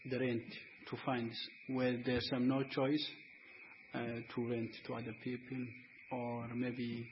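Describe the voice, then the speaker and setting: A man's voice: mid-range voice, background noise. Man, searching for words. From Ethiopia. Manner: searching for words, broken phrasing with many fillers. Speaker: student and panel speaker. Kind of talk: unscripted discussion. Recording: dull lo-fi recording, faint ambience.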